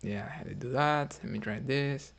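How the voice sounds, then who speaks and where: A man from Cuba, streaming his chess games and quietly thinking his moves out loud. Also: medium pitch, normal speed, gravelly, slight background noise. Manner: thinking aloud, muttering moves quietly, hesitant.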